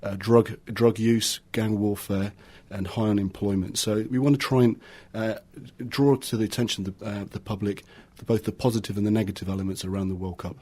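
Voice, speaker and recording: A man from Argentina with a low, husky voice speaks as a radio interview guest. His delivery is very even in pitch, earnest and explanatory, with words often repeated. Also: a little fast, few pauses. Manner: earnest, with frequent repetition. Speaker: project assistant manager, guest.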